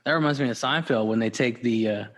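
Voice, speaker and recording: A man's voice: medium pitch, fast. A man hosting a podcast, talking casually with lots of fillers, restarts and repeated "for sure". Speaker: podcast host. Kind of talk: casual interview chat.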